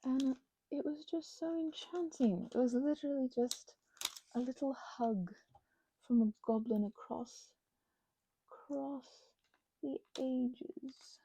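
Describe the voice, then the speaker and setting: A woman's voice: high voice, takes it slowly, pauses often, warm tone, a little hiss or hum, low-quality recording. A woman crafting and rambling casually in ASMR style, whimsically talking to herself and the things in her hands, sometimes dropping to a near-whisper. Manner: whimsical, talks to herself and objects. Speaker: crafting vlogger.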